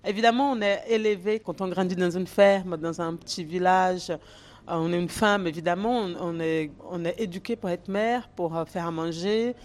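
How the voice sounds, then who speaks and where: A woman's voice: lowish voice, moderate pace, a little mellow. A middle-aged woman chef from Brazil with a Brazilian accent, chatting casually while cooking, with many fillers. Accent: Brazilian Portuguese. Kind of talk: casual interview and cooking demonstration.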